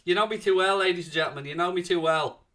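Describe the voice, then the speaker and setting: Young South Dublin male stream host, bright, chatty, casual with the chat. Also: sits high, quick pace. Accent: South Dublin Irish. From Ireland. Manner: chatty, jokey, answers chat with "mate" and "man". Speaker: host of a football watchalong stream. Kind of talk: casual live-stream chat reading viewer comments.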